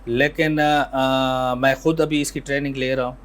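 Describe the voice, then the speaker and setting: A man with a Pakistani accent, an ENT surgeon giving measured explanations in an interview and mixing in English terms. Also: mid-range voice, little pitch movement, a bit bright.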